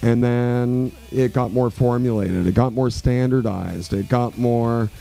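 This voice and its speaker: A man's voice: mid-range voice, unhurried, creaky, faint music behind. A man in his forties from Quebec, a guest musician telling stories in a radio interview, loose and rambling with lots of fillers. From Quebec, Canada. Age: 40s. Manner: relaxed, rambling storyteller with many fillers.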